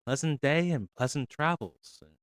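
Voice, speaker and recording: A man cold reading folk tales aloud on a stream, unhurried and measured, his voice feeling a little rough after an hour. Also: fairly high pitch, no room sound, clean studio sound. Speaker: reader.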